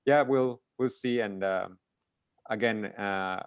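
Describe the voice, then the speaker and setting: Guy hosting a chat interview, lowish voice, dry sound, lots of uhs and restarts. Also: slow pace, clean tone, no room sound. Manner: rambling, restarts questions, many fillers. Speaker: interview host.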